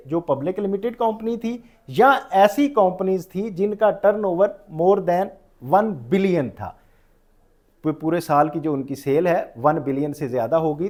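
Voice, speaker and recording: A man with a Pakistani accent speaks in a notably high register for a male voice. He delivers an informal lecture as a professional instructor, dropping English terms into his speech. He often rephrases what he has just said to explain it clearly. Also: a little mellow. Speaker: tax professional giving a lecture.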